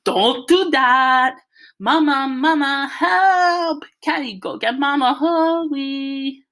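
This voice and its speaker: A woman's voice: sits high, slow pace, a bit bright, pitched above the performer's own voice. A woman voicing a frantic, whiny young boy in a children's story, pleading and wailing. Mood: panicked.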